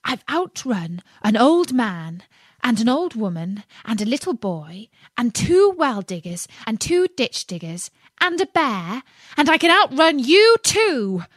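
Woman playing tiny boastful storybook gingerbread runaway, high-pitched, loud, cheeky, lively sing-song. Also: measured pace, no room sound. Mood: boastful, defiant.

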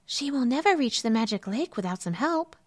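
A woman's voice: sing-song, fairly quick. A woman voicing a small, kindly talking bird in a storybook tale. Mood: concerned. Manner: high, light, helpful.

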